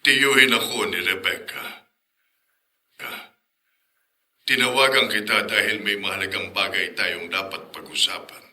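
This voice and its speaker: A man's voice: fairly low pitch, fairly even intonation, unhurried, long pauses, pushed and bright. A man playing a concerned, fretful older uncle in a radio drama, speaking earnestly and emphatically. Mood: grave. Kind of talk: Filipino radio drama acting.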